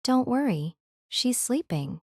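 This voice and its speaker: A woman playing a gentle young mother, an artificial being, speaking softly and tenderly in an audio drama. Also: fairly high pitch, very animated, takes it slowly, dry recording, studio quality, heavily acted. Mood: tender, content. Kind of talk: audio drama character acting.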